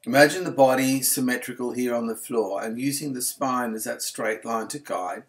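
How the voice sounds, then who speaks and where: A man with a medium-pitched voice leads a guided relaxation as a yoga instructor. He is calm and unhurried, offering each instruction gently and soothingly.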